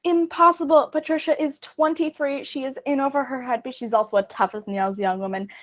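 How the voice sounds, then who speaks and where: A woman's voice: high-pitched, fairly even intonation, brisk, lightly acted. A woman playing a headstrong, argumentative actress and scornful wife in a theatrical comedy, emphatic and self-assured. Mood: defiant.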